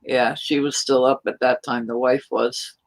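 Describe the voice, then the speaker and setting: A woman from New York chatting casually on a live stream, rambling in a loud, hyper way. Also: very deep voice, little pitch movement, normal speed, low-quality recording. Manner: rambling, hyper, self-described loud talker.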